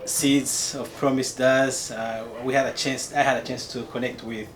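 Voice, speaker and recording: A man from Rwanda with a Rwandan accent, earnestly giving a personal account at a meeting in a measured, halting way. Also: mid-range voice, little pitch movement. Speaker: community meeting participant, city employee.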